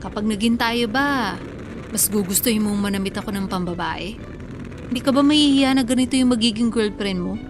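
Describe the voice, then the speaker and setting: An actress playing a tough, frank female tricycle driver, guarded in love, with brisk plain-spoken banter in a radio drama. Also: medium pitch, close to an everyday voice. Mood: guarded, earnest. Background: music.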